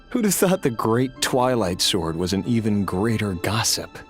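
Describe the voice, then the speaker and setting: Low-voiced, dryly reserved knight. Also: male voice. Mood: wry, friendly. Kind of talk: dramatic game voice acting, reading journals and dialogue. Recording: music under the voice. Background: music.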